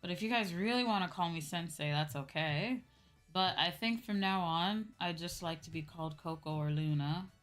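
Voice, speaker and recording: Low-pitched young woman streamer, sore voice. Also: moderate pace, a bit bright. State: sore, tired voice from talking all day. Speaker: VTuber streamer. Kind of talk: casual livestream chat. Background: background music.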